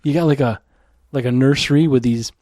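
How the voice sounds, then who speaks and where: A man's voice: mid-range voice, a little fast, darkish tone, no echo, studio quality. A man co-hosting a casual beer podcast, cracking crude jokes and talking loosely with lots of fillers. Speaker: podcast co-host. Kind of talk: casual chat over beers.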